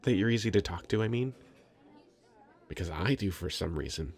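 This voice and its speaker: Shy, sweet young male nerd played by a man for ASMR roleplay: low, husky, hesitant, stammering, self-conscious. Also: expressive, normal speed, a little mellow. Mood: shy, earnest. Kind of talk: scripted ASMR roleplay, intimate conversational acting.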